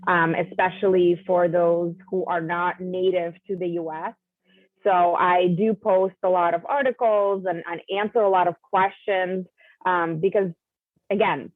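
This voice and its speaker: A woman whose first language is Russian, speaking as a guest in an interview, measured and full of fillers and restarts. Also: on the low side, no room sound. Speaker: guest, college admissions coach. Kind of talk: conversational interview. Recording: tinny phone-like line, dry.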